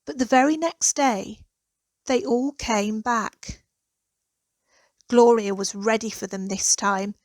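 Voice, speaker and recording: Female children's storybook narrator, higher register, expressive and deliberate delivery, dry close sound. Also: no echo. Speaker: author reading own children's story.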